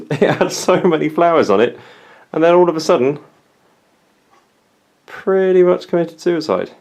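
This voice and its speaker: A man's voice: on the high side, lively intonation, measured pace, long pauses, darkish tone. A young man with an English accent, from England, chatting casually and poking fun at himself in a plant vlog. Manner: self-mocking, dry humor. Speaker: plant vlogger.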